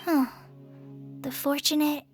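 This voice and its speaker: An actress playing an earnest, gentle young adventurer in a video game, with a soft way of speaking. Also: female voice, high-pitched, little pitch movement, slow pace, over music. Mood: pensive.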